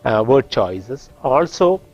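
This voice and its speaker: A man from India with an Indian accent, teaching a course and explaining technical points with frequent pauses and restarts in a classroom. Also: mid-range voice, very animated, darkish tone, highs cut off, faint music behind. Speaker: course instructor. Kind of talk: classroom lecture.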